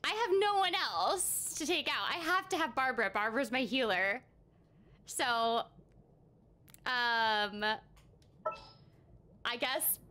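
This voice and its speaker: High-pitched, animated young woman streaming a game. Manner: excitable, giggly, repeats phrases. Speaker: streamer and voice actress. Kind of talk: casual live gaming stream chat. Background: game music.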